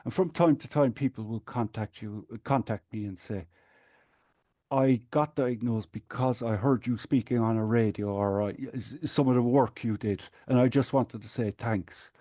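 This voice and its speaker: An Irish man talks in a measured, explaining way, often restarting a phrase. His voice is mellow at a middle pitch. The sound is dry and close. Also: no echo. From Ireland. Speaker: guest, support group administrator. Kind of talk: earnest conversational interview.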